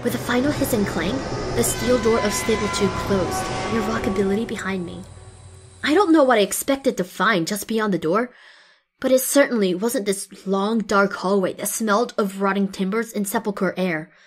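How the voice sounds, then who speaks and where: A woman's voice: medium pitch, normal speed, slightly rough, soft background music, natural voice. A woman voicing a young, determined and wry female pony who narrates her own adventure in an audio drama. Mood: wary. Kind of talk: scripted first-person narration in audio drama.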